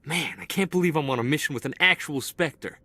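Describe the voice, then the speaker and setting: An actor playing an eager, restless young male corporal in a video game cutscene, talking in an excitable, boyish way. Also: fairly high pitch, a little fast, dry recording. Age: young adult.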